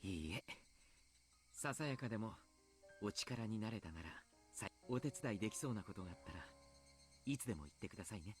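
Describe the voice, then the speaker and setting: An actor voicing a gentle, courteous adult coach in a visual novel game, speaking politely and with composure. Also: male voice, on the low side, animated, racing, faint music behind, natural voice. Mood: cool, polite. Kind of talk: Japanese visual novel voice acting.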